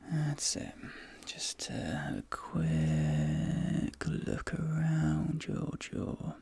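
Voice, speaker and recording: Very slow, breathy, crackling ASMR whisper. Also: low voice, big pitch swings, dry recording. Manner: gentle, reassuring, unhurried with short soft phrases. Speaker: ASMR performer playing a dentist. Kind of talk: ASMR role play, whispered personal attention. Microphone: close ASMR microphone.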